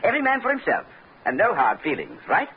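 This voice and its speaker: A man's voice: high voice, wildly swooping pitch, fast, full character voice. An actor playing a fussy, genteel and slippery crooked businessman, with prim, over-polite phrasing in old radio drama style. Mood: smooth, calculating. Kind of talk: 1940s-50s radio acting. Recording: dull, faintly noisy old radio recording.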